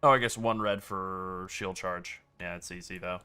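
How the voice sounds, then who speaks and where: A man's voice: low-pitched, moderate pace, clean tone. A man streaming a video game, commenting casually as he plays, with a rambling delivery full of "like.". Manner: relaxed, rambling, many "like" fillers.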